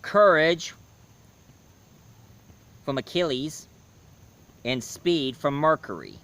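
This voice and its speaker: A man giving a casual spoken review, hoarse, rambling and correcting himself mid-sentence. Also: fairly high pitch, expressive, takes it slowly, fairly bright tone. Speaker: movie and comics reviewer.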